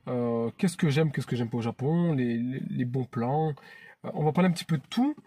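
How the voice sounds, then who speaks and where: Husky, mellow-voiced man vlogging. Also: mid-range voice, normal speed. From France. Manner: earnest and chatty, often restarting phrases. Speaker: YouTube channel host. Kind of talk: casual vlog monologue.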